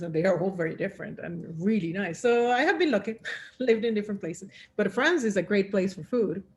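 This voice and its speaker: A middle-aged woman from Venezuela chatting casually in a group, expressive and thinking aloud as she goes. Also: mid-range voice, breathy edge. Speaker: session host.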